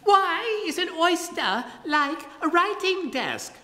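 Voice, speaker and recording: A narrator voicing an eccentric male carpenter in a high falsetto, drawn out and teasing, with a long hall reverb on the recording. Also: very animated, slow, pushed and bright, exaggerated voice. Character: eccentric male carpenter, beachcomber, shrill and gleeful.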